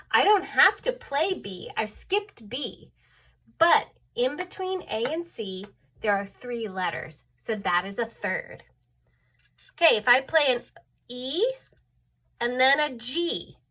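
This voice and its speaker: Slow, bright-voiced woman music teacher. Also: high-pitched. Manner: patient, checks in with "okay?" often. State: stuffed up. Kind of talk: online music lesson.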